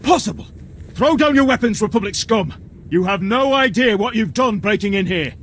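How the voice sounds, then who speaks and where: Casting: an indignant, haughty Imperial officer for a video game, high-pitched and animated, commanding, faint ambient noise. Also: male voice, normal speed. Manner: commanding, pompous, outraged. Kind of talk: dramatic video game voice acting.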